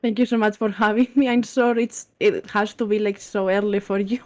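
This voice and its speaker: A woman's voice: medium pitch, fairly even intonation, a little fast. A woman from Spain with a Spanish accent, speaking English as a second language. She is a friendly guest who laughs easily and sometimes searches for words. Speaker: guest, VTuber artist. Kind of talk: casual interview chat.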